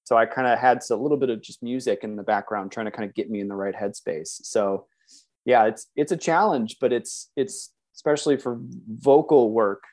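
A man's voice: mid-range voice, fairly quick. A man who works as a voice actor, chatting casually and enthusiastically as an interview guest. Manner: enthusiastic, animated self-interruptions.

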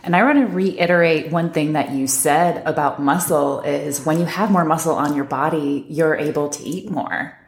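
A woman co-hosting a casual health podcast, upbeat and quick to laugh along. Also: low-pitched, vocal fry, roomy sound. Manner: laughs often, affirming. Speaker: podcast co-host. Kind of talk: casual podcast chat and ad read.

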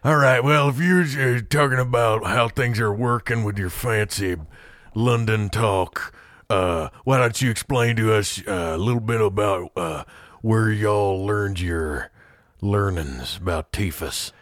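A man playing a folksy, dim Old West tooth-puller hosting a comedy show, rambling and halting with an American accent. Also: fairly low pitch, fairly bright tone, no room sound. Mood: folksy, curious. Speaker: host. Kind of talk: improvised comedy role-play.

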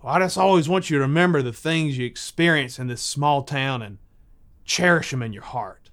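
A man voicing a folksy small-town father in a comic story, proud, patriotic and gently instructive. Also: fairly high pitch, moderate pace. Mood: folksy, earnest.

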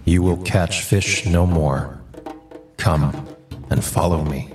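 Male actor as holy teacher, deep voice, calm authority, audio drama, faint music. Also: slow, distant mic. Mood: calm, commanding. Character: Jesus, calm authoritative teacher calling his disciples.

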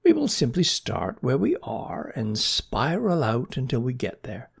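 Casting: motherly storybook snail lady, voiced by a narrator, patient, decisive, reassuring, with a husky tone. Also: medium pitch, huge pitch swings, a little slow, exaggerated voice. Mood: patient, assured. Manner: soft, reassuring, gently commanding.

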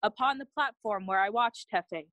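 A woman's voice: medium pitch, normal speed, a bit bright, no room sound, dull audio, close to an everyday voice. A woman playing a loyal, earnest young friend of a prince in a bilingual Shakespearean audio play, speaking formally. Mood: grave, awed. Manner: earnest, formal, clearly declaimed.

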